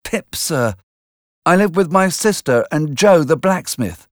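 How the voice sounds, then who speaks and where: A man's voice: a little high, expressive, unhurried, no room sound, studio quality, pitched above the performer's own voice. A man voicing a frightened small boy pleading, in a storybook reading.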